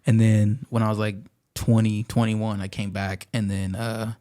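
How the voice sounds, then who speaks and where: A man's voice: fairly low pitch, little pitch movement, moderate pace, dark tone. A man in his twenties, a rapper from the Pacific Northwest, telling stories in slangy, laid-back podcast chat. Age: 20s. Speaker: rapper guest.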